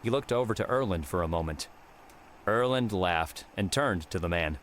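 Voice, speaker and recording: Male audiobook narrator, low register, scripted adventure prose, noticeable ambient noise behind. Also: lively intonation, normal speed, a bit bright.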